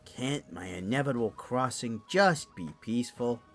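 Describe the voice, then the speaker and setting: A man's voice: medium pitch, animated, a bit bright, faint music behind, natural voice. A man voicing a tipsy, florid, lovestruck raccoon lord in an audio drama, theatrical and rambling. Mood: aggrieved. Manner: slow, theatrical, rambling, sing-song.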